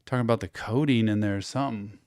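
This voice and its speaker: A man hosting a music reaction, slightly hoarse from losing his voice, eagerly repeating lines back and affirming them. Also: on the low side, fast talker, a loud crowd. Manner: enthusiastic, repeats lyrics back and affirms with yeps. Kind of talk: casual music reaction commentary.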